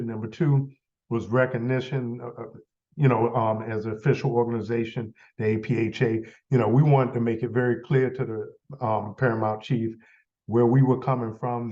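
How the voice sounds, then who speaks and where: A man with a cold, sounding a little stuffy, leading a members' meeting in a halting, filler-heavy way. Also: mid-range voice, steady tone, mellow, highs cut off. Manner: halting, many fillers and restarts. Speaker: association leader running a meeting. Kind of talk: casual meeting update.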